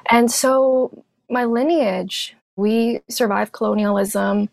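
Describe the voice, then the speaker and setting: A woman from Hong Kong whose first language is Cantonese, a therapist guest telling her story in a thoughtful, measured way. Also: on the high side, steady tone, gravelly, darkish tone, no echo. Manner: thoughtful, measured, speaks in lists. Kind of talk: reflective personal storytelling.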